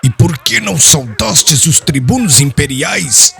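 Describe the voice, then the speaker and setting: A man's voice: on the high side, big pitch swings, a little slow, gravelly, pushed and bright, soft background music, voice pushed up, exaggerated voice. A man voicing an arrogant, brutal Roman tribune in an audiobook, harsh, scornful and commanding. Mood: harsh demanding. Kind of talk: dramatized audiobook character voice.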